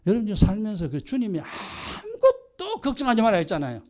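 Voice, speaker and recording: A man's voice: high-pitched, huge pitch swings, a little fast, a little mellow. A man with a Gyeongsang Korean accent preaching a sermon as pastor, scolding his congregation with rhetorical questions.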